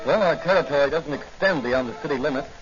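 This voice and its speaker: A man's voice: fairly high pitch, fast, lightly acted. An actor playing an earnest young rookie patrolman in a 1940s radio crime drama. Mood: confident, easygoing. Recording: muffled old 1940 radio recording with music.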